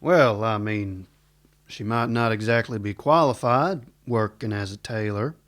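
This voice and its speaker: A man's voice: fairly low pitch, a little slow, fairly bright tone. A man playing a laconic, wry, no-nonsense older US marshal in a western radio serial. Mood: exasperated. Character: dry, weary, no-nonsense older Wild West marshal.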